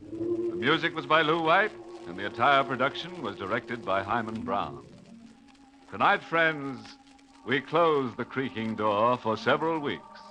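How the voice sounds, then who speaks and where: A ghoulish horror host acted by a man relishes the macabre with sardonic glee. The delivery is slow and drawn-out in a medium-pitched voice, with music behind it on an old radio broadcast. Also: lightly acted, low-quality recording. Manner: sardonic, drawn-out, savoring morbid puns. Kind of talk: 1940s-50s radio horror hosting.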